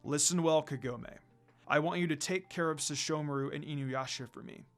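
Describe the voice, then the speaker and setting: A man plays a fatherly dog demon spirit from a fantasy story. The voice drops low and stays calm, measured and solemn, with kindly gentleness. Music plays underneath. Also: close to an everyday voice.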